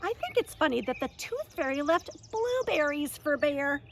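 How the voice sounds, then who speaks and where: A woman reading a picture book aloud for a children's story hour, expressive, with drawn-out emphasis on key words. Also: high-pitched, a little hiss or hum. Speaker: library story hour reader.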